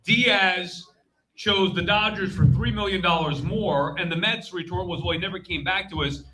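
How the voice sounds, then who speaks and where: A man's voice: high voice, normal speed, sharp tone, rough recording. A man hosting a live sports panel, impassioned, exasperated and emphatic. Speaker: sports show host. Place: live convention panel stage.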